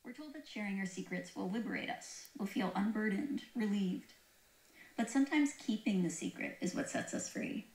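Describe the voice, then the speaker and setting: A woman's voice: mid-range voice, animated, moderate pace, darkish tone. Echoey woman narrating over a radio. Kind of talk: scripted narration. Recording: radio playing through speakers in an echoey room.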